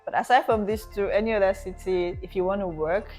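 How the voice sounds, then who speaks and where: A woman's voice: mid-range voice, quick pace, darkish tone, faint music behind. A woman whose first language is Yoruba, hosting a casual chat and reacting with curious exclamations. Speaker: host.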